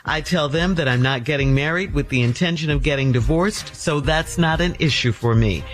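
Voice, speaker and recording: A woman's voice: low voice, moderate pace. A woman co-hosting a morning radio show, reading items and bantering casually. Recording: music playing behind the voice. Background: background music.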